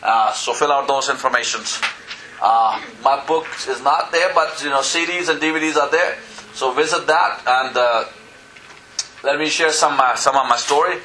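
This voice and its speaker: A man's voice: mid-range voice, fairly even intonation, moderate pace, sharp tone, slight background noise, poor audio. A man from Pakistan, preaching a sermon in church, earnest and full of "you know" and calls of "Amen?". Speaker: pastor.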